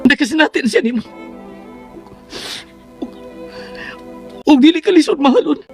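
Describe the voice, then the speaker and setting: A man's voice: very high-pitched, animated, moderate pace, creaky, full character voice. A man playing an insistent, jealous young man hurt by love in a radio drama, urgent and confronting. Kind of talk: radio drama acting in Cebuano. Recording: music under the voice.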